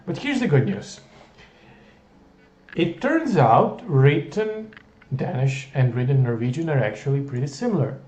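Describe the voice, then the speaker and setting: A man's voice: mid-range voice, sing-song, measured pace, pauses often, a little mellow, reverberant. A man reading a text adventure game aloud, adding wry, jokey asides in a playful way.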